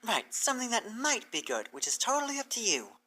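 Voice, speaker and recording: Bookish female pony scholar voiced by a woman: high, bright, excitable, earnest storybook character. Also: brisk, slight background noise. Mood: eager, earnest. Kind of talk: fan fiction character reading.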